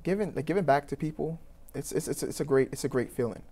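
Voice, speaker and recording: Young trini guy talking quick, earnest and rambly, lots of ums. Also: on the high side, warm tone. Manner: earnest, rambling, many fillers and restarts. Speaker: youth program founder. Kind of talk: interview answers.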